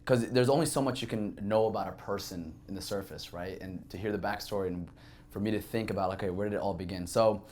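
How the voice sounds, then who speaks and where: Deep-voiced man telling his life story. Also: fast, darkish tone. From Saudi Arabia. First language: Arabic. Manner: reflective, conversational, many fillers. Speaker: podcast guest. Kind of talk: candid personal storytelling.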